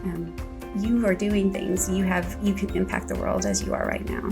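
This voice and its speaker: A woman in her forties, a school administrator talking as an interview guest, warm and chatty, laughing often. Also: medium pitch, fairly even intonation, moderate pace, over music. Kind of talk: casual interview chat.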